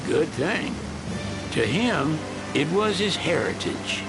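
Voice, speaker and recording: A man's voice: fairly high pitch, big pitch swings, takes it slowly, loud music behind the voice, full character voice, rough recording. An actor playing a folksy, wistful older male mechanic with a Southern American drawl in a video game cutscene.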